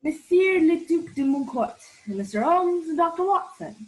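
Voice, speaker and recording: Woman playing a petulant, jealous English gentleman. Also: very high-pitched, expressive, normal speed, low-quality recording. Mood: irritated. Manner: blunt, petulant. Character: hot-tempered, jealous English gentleman, sullen and blunt. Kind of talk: 1940s radio play acting.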